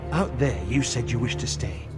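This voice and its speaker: An actor playing a firm, proud adviser to a young lord in a fantasy video game, speaking with resolute insistence. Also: male voice, medium pitch, animated, normal speed, loud music behind the voice, natural voice. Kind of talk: dramatic video game acting.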